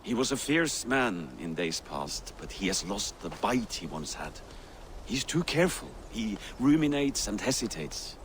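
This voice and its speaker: A man's voice: lowish voice, big pitch swings, measured pace, slight background noise. A man playing a boisterous, scheming, hard-drinking Viking warrior in a dramatic video game, with a theatrical way of talking. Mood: rueful.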